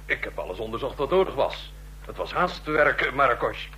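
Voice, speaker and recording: An actor playing a hesitant, defensive chief medical officer in a radio drama. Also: male voice, mid-range voice, very animated, normal speed, bright, forceful tone. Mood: defensive unease.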